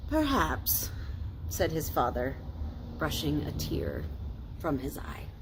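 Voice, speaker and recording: An American woman, a pastor, reading a children's story aloud in a deliberate, explanatory way. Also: deep voice, sing-song. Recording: faint noise, ambient sound.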